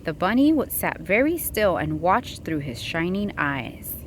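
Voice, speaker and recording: A woman reads a children's storybook aloud at a slow, patient pace. Her pitch swings widely as she plays up the story for a young listener. There is light noise behind her in the recording. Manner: expressive, asking the child questions. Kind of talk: picture book read-aloud.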